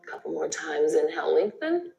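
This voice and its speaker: A woman's voice: low voice, sing-song, brisk, vocal fry. A woman leading a yoga class, giving step-by-step cues in an encouraging, conversational way. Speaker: yoga instructor. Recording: roomy echo with music behind. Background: music.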